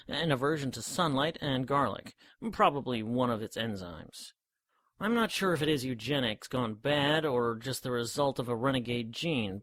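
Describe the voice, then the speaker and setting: An actor playing a bookish, rational gentleman scientist in an audio drama, speaking in a formal, precise, pedantic manner. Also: medium pitch. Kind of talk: scripted audio drama dialogue.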